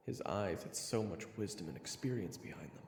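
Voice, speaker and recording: A man's voice: low-pitched, expressive, fairly quick, vocal fry, darkish tone, a little hiss or hum. A man playing an earnest, easily flustered young fantasy hero who also narrates in the first person, in an audio drama. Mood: uneasy narration. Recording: echoing hall reverb, music behind.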